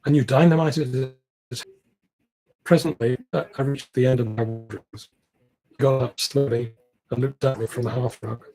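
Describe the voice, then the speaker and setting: A man with a slightly husky voice reading a classic novel aloud, now and then stumbling over words. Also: medium pitch, fairly even intonation, moderate pace, warm tone, rough recording. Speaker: amateur audiobook reader, library team member.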